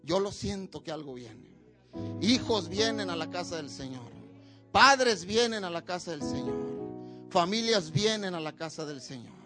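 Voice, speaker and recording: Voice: medium pitch, lively intonation, a little slow, music in the background. A preacher delivering a sermon at a church service, with emphatic, repetitive exhortation.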